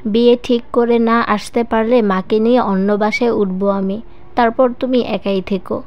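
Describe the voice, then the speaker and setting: A woman voicing a brazen, coolly stubborn grown son in a story, with a calm, demanding manner. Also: fairly high pitch, normal speed, lightly acted. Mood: calm threat. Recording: muffled lo-fi voice track with music.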